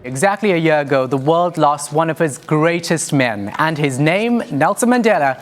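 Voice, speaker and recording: A man's voice: on the high side, lively intonation, normal speed. A man presenting broadcast news as an anchor and reporter, in a scripted delivery.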